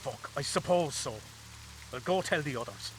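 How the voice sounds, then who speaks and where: A man's voice: a little high, animated, moderate pace, slight background noise, close to an everyday voice, rough recording. A man acting an irritable, furtive soldier in a video game, coarse and snappish. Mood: irritated, grudging. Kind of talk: dramatic video game dialogue.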